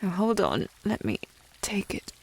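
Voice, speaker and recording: A woman's voice: medium pitch, wildly swooping pitch, measured pace, gravelly, a little mellow, breathy edge, heavily acted, low-quality recording. A woman playing a haughty, coy young lady of the house with a British accent, prim and formal, in an intimate historical romance ASMR roleplay. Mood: smug, teasing. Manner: prim, snooty, formal phrasing with coy indirectness.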